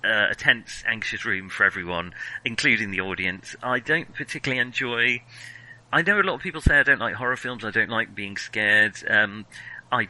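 Bright, forceful-voiced man talking film. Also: on the low side, moderate pace, poor audio. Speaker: podcast co-host reviewing films. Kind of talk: casual film discussion.

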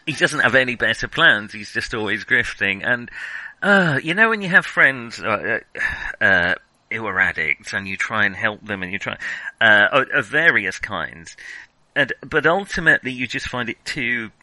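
Guy chatting about movies on a podcast, really crisp bright tone, dry close sound, thinks out loud. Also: mid-range voice, sing-song, normal speed, no echo, poor audio. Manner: hesitant, reflective, thinking aloud. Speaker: podcast host. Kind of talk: conversational film discussion.

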